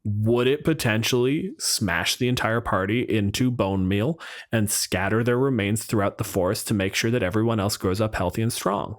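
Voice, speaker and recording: A man's voice: on the low side, normal speed. A man co-hosting a podcast in casual chat, arguing his points back and forth with qualifications. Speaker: podcast co-host. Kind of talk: casual chat about tabletop game monsters.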